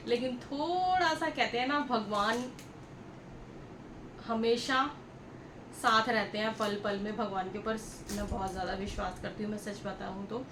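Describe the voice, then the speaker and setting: A woman telling a story to viewers in a casual, chatty vlog style, repeating herself and addressing them directly. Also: a little high. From India. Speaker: vlogger. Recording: echoey room with clear background noise.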